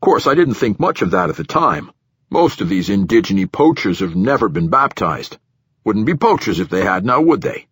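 A man's voice: fairly low pitch, very animated, normal speed, slightly rough, no room sound. A man voicing a flustered, defensive male station director in an audiobook, deferential and hedging with folksy phrasing. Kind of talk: audiobook character dialogue.

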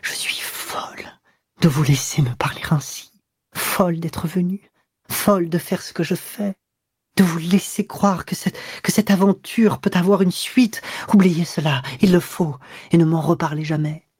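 A man's voice: high-pitched, steady tone, moderate pace, darkish tone, husky, dry recording, studio quality, voice pushed up. A man voicing a middle-aged married woman who confesses a secret love in a breathless, tearful whisper. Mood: anguished.

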